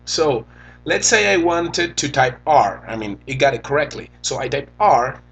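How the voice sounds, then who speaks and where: Young Venezuelan-accented man, native Spanish speaker, husky, unhurried casual tech-review talk. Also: on the high side, fairly bright tone, low-quality recording. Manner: slow, halting, thinks aloud while typing. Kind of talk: casual phone review demo.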